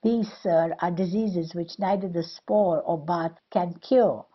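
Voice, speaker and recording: A woman playing a mocking, sharp-tongued wit in an old stage comedy, husky and declamatory. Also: lowish voice, takes it slowly, highs cut off. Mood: scornful. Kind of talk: Restoration comedy audio play acting.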